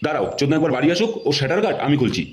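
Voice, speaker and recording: A man's voice: on the high side, sing-song, rapid-fire. A man voicing a grumpy, foul-mouthed middle-aged father in a comedy cartoon, scolding and griping. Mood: angry. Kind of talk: Bengali comedy cartoon dubbing. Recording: clear background noise.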